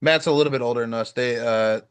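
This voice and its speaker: A man's voice: mid-range voice, fast, clear, resonant tone. A man co-hosting a gaming podcast in casual chat, joking crudely and laughing often. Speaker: podcast co-host.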